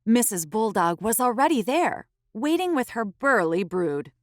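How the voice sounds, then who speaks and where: A woman host reading a picture book aloud to young children, expressively, with careful phrasing and dramatic pauses. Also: on the high side, big pitch swings, no echo, clean studio sound.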